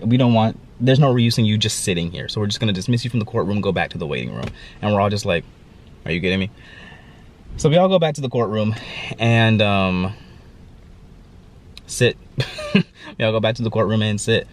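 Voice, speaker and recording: A young man with a congested, stuffy voice talks casually to his camera, looping through his story with fillers and restarts. His voice sits fairly low. A faint ambient hum runs underneath. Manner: rambling, chatty storytelling with fillers. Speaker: vlogger. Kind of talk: casual vlog talk to camera.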